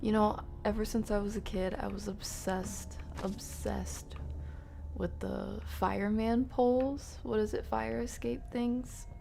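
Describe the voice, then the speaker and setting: A woman's voice: medium pitch, steady tone, unhurried, gravelly, dark tone, slight background noise. A woman giving casual, playful live game commentary in slangy language, often murmuring to herself and slipping into whispers. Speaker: game streamer.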